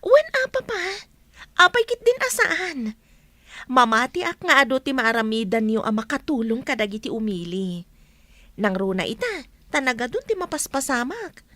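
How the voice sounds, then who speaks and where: A woman's voice: high voice, very animated, normal speed, sharp tone, dry recording, full character voice. A woman acting an affectionate, earnest grown daughter in an Ilocano radio drama. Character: affectionate grown daughter back from working abroad, earnest and supportive.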